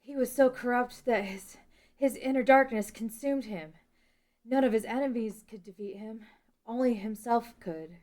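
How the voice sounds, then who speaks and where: A woman's voice: a little high, moderate pace, a little mellow, close to an everyday voice. A woman playing a determined, steadfast young princess in a fantasy table read. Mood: weary, relieved.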